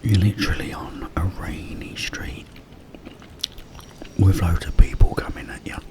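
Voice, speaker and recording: Whispering British man, very slow and close. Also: sits low, flat delivery. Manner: up-close, hushed, rambling storytelling with pauses. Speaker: ASMR video creator. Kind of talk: ASMR whispered casual ramble. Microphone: close microphone.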